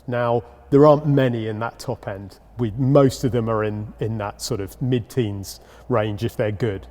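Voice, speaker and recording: A man, a price-guide editor, answering questions in a relaxed, measured way while thinking aloud. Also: mid-range voice. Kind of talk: interview answer. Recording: echoey room with a long reverb.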